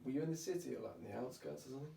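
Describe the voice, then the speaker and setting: A man's voice: mid-range voice, rapid-fire, vocal fry, dark tone. A man hosting a podcast in casual chat, chatty and jokey, asking lots of questions. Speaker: podcast host. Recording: roomy, distant mic with faint hiss.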